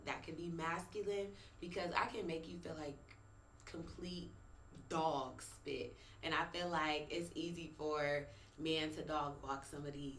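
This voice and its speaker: A woman's voice: low voice, measured pace, fairly bright tone, roomy sound, slight background noise. A woman speaking as a guest in a casual panel chat, blunt and boastful in how she talks.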